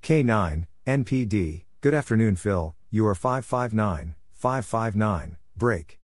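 A man narrating Morse code practice, reading scripted radio exchanges and spelling out letters and numbers deliberately. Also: fairly low pitch, lively intonation, dry recording.

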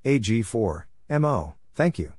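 Slow, low-voiced man reading call signs. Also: very animated, darkish tone, husky, dry recording, clean studio sound. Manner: measured, deliberate, separated letters and numbers. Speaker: Morse code practice instructor. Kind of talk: scripted read-out of radio exchanges, spelled letter by letter.

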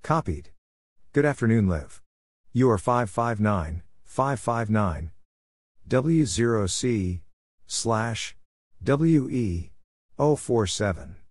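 A man narrating scripted radio exchanges for Morse code practice, reading in a measured way and spelling out call signs letter by letter. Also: mid-range voice, lively intonation, darkish tone, no echo, studio quality.

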